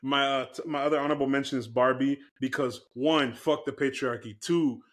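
Male podcast co-host, mid-range voice, casual profane banter, quick to laugh. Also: mid-range voice, steady tone, a bit bright. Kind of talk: casual movie-review banter.

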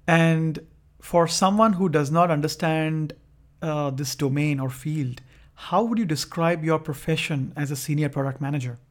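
Higher-voiced male podcast host, polite. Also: moderate pace. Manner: polite, formal phrasing. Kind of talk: reading a guest introduction, asking questions.

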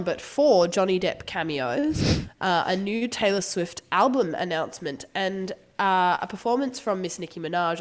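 A young woman co-hosting a podcast, chatting casually with a sore throat and dropping the odd swear. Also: lowish voice. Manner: chatty, swearing, quick asides. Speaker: podcast co-host. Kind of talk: casual chat.

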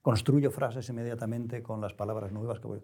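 A middle-aged man who is a writer, talking reflectively in an interview, choosing his words with pauses and restarts. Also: medium pitch, fairly even intonation, dark tone, breathy edge. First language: Spanish. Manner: measured, searching for words, frequent restarts. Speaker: novelist, interview guest.

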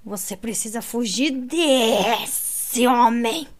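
A woman voicing a young woman who fakes a terrible fortune-teller accent, slow and exaggerated. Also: on the high side. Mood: theatrical.

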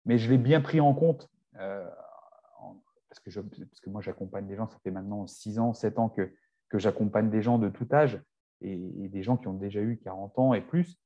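A man's voice: on the low side, moderate pace, dark tone, muffled recording. A man in his forties, a fitness coach, speaking as a guest in a casual podcast chat, often restarting his sentences. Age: 40s. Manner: hesitant, many restarts and fillers.

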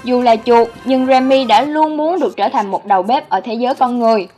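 A woman's voice: a little high, a little fast, warm tone. A woman reading scripted narration as the host of a countdown video. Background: background music.

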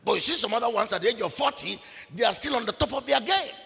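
High, gravelly preacher. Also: male voice, expressive, quick pace. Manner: emphatic, repetitive, bursts of shouting. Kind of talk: sermon. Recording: long reverb of a large hall.

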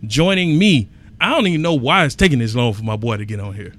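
A man hosts a casual sports podcast, talking in an animated, emphatic and colloquial way. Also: mid-range voice, fast.

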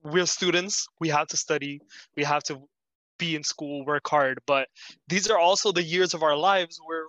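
A man's voice: a little high, steady tone, normal speed, sharp tone, no echo, muffled recording. A twenty-year-old man talking earnestly in a casual chat about student life. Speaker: student podcast co-host.

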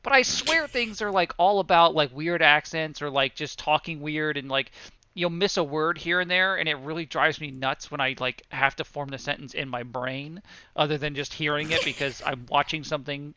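A man's voice: a little high, moderate pace, bright, forceful tone, clean tone, no echo. A man in his thirties co-hosting a podcast, rambling casually about films with many ums, self-deprecating asides and swearing. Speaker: podcast co-host. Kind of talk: casual movie review chat. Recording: dry, dull lo-fi sound.

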